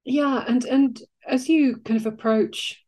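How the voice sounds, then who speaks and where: A woman's voice: sits high, fairly even intonation, slow pace, a little mellow. A mature woman in thoughtful podcast conversation, explaining ideas articulately and with emphasis, as an author discussing her work.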